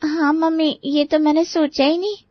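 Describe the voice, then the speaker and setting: Woman as naive obedient young daughter, really high voice, earnest, dry sound. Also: little pitch movement, moderate pace, no room sound, lo-fi sound, lightly acted. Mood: meek.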